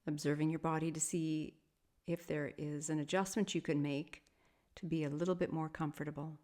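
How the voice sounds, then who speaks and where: Low-pitched woman guiding a meditation. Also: little pitch movement. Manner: soft, soothing, measured with long pauses. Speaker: yoga nidra meditation teacher. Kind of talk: guided meditation.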